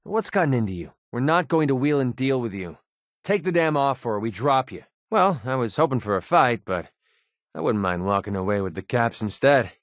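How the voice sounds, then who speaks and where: Male actor as young American gun-for-hire, video game companion, cynical, sarcastic, slangy, mid-pitched, dry close recording. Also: expressive, normal speed, no room sound, lightly acted. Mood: skeptical.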